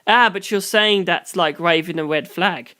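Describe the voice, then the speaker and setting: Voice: medium pitch, moderate pace, sharp tone, lightly acted. Audiobook role: brash young man, a curious detective, informal and breezy, acted out by a narrator. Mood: eager, defiant.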